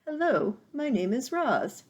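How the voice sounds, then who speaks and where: A woman voicing a bossy, excitable old owl with a loud, shrill, hooting delivery in a read-aloud storybook. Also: unhurried, exaggerated voice. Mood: excited.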